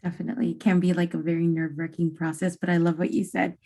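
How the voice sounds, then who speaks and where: A woman moderates a career session, polite and a little halting with fillers. Her voice is low and mellow. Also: little pitch movement.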